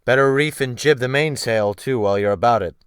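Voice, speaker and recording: A man voicing a brutal, commanding sea captain in a dramatic audiobook, barking brisk orders with a quick temper. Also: medium pitch, clear, resonant tone, dry recording, studio quality, lightly acted. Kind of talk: dramatic audiobook character acting.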